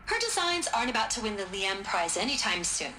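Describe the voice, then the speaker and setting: Brisk woman project leader, wry, emphatic, informal briefing, roomy echo, background noise, game. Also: medium pitch, sing-song, bright, forceful tone. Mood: wry, enthusiastic.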